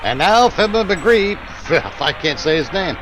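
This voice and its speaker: A man's voice: on the high side, big pitch swings, a little slow, a bit bright, soft background music, low-quality recording. A man riffing comedic commentary in a crude, exasperated, grumbling manner.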